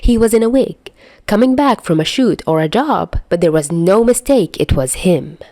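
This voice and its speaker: Expressive woman narrating, swinging pitch. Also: dry recording. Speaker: fan fiction narrator. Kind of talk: expressive scripted story narration.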